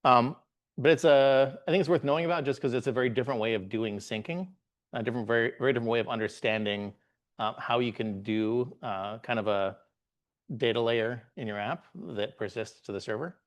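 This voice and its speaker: A man in his forties, originally from the Bahamas, presents at a tech meetup in a mid-pitched voice. He speaks in an easygoing, halting way, often pausing on "uh" and restarting his sentences as he walks through slides. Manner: relaxed, halting, many "uh" fillers and restarts. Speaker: guest presenter, software engineer.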